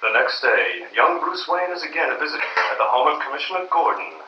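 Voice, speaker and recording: A man narrating a live old-time radio drama in a measured, dramatic storytelling manner. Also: medium pitch, very animated, pushed and bright, a little hiss or hum. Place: convention stage before an audience. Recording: roomy, distant, dull live stage recording.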